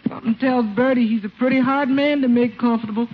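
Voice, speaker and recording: An actress playing a plain-spoken, wry and skeptical household cook in a 1950s radio comedy. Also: female voice, fairly high pitch, steady tone, fast talker, natural voice. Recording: muffled 1950s radio recording, faint noise.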